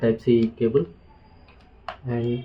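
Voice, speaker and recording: A man with a Telugu accent doing a casual, unscripted product walkthrough in a hesitant, halting way, mixing in English words. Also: medium pitch, fairly even intonation, phone-quality sound, slight background noise. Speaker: vlogger presenting a product unboxing.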